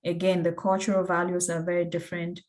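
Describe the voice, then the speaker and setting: A woman's voice: on the low side, monotone, fast talker. A woman with a Cameroonian English accent speaks as an academic presenter, walking through slides on a video call. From Cameroon, West Africa. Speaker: academic researcher, guest speaker.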